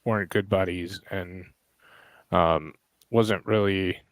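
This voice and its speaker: Hoarse man chatting, dry close mic. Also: low voice, steady tone, takes it slowly, dry recording. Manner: rambling, searching for names. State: hoarse, lost voice. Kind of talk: casual online chat.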